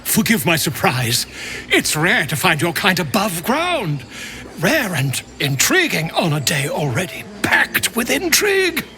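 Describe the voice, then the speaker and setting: A man's voice: high voice, huge pitch swings, measured pace, bright, forceful tone, soft background music, full character voice. An actor playing a sly, curious male adventurer in a video game, wry and polite. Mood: intrigued. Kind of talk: video game character acting.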